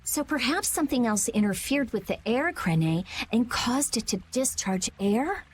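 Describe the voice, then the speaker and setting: A woman's voice: a little high, sing-song, unhurried, a little hiss or hum. An actress playing a brainy, brusque teenage girl researcher in a video game. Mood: pondering. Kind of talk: animated video game acting.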